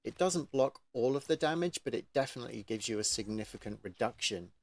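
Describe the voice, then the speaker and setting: A man's voice: medium pitch, lively intonation, a little fast, a little mellow. A man hosting a gaming channel, chatting casually with a guest in an informal interview.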